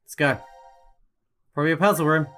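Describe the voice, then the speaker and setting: A man's voice: fairly high pitch, fairly quick, fairly bright tone. A man giving casual gameplay commentary, thinking aloud and swearing freely. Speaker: gaming streamer. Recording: game music faintly behind.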